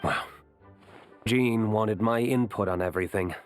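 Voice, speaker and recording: A man voicing a cool, reserved young nobleman and former knight in an anime game, speaking in a measured way. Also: lowish voice, faint music behind, natural voice. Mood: matter-of-fact.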